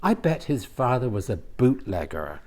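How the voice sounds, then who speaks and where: Male reader as older man, gossipy, wry, pedantic, lively pitch, storybook dialogue. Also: moderate pace, close to an everyday voice. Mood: gossipy musing.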